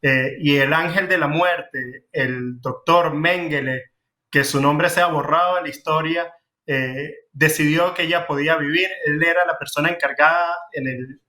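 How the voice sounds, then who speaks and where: A man's voice: a little high, bright, forceful tone. A man with a Venezuelan accent, speaking earnestly in a television talk-show discussion, with frequent fillers and restarts. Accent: Venezuelan Spanish. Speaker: community director.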